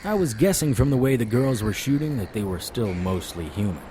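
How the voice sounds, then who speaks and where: An actor playing a weary, wry private investigator in a noir audio drama, terse and deadpan. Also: male voice, medium pitch, brisk, a little hiss or hum, lightly acted. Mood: grim, tense.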